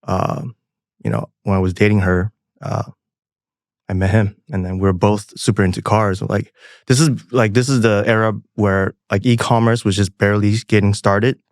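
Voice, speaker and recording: A man from Taiwan with a lowish, gravelly voice, speaking softly and casually with plenty of pauses and fillers. The sound is dry and close, with no room around it. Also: dry recording. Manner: soft-spoken, relaxed, many fillers. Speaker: podcast guest, parts company founder. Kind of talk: casual interview chat.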